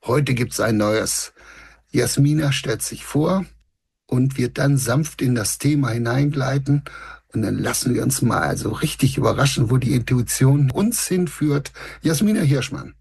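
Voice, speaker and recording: Gravelly husky man hosting a podcast. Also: medium pitch, lively intonation, unhurried, a little mellow. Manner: friendly, formal greeting, asks questions. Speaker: podcast host. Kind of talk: podcast interview.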